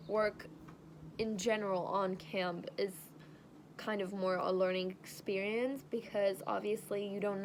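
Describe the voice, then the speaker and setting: A young woman from Germany with a German accent, chatting casually in a vlog and rambling with lots of fillers. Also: mid-range voice. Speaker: vlogger. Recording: faint background noise.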